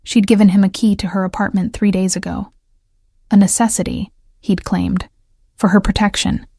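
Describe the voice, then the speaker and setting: A woman narrating a romance drama story in a measured, even manner. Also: medium pitch, vocal fry.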